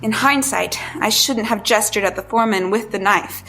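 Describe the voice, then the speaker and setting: An actress playing a hunted woman who tells her story as tense, deliberate first-person audio drama narration. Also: medium pitch, a bit bright, faint music behind, lightly acted, rough recording. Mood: watchful, tense.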